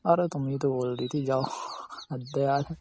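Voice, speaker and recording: A man with a husky, gravelly voice chatting casually and intimately on a phone call, coaxing and reassuring. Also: medium pitch, steady tone, normal speed, darkish tone, slight background noise. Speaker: romantic partner on a phone call.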